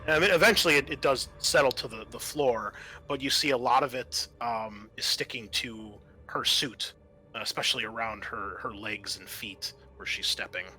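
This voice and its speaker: A man working as game master, improvising halting, descriptive narration for a tabletop sci-fi horror game. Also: medium pitch, bright, forceful tone, faint music behind, rough recording.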